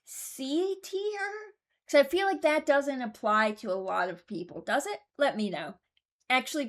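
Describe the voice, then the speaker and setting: A woman giving casual, rambling commentary as a YouTuber, frank and mock-serious, often hesitating as she goes. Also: a little high. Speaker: YouTuber ranking game voice lines.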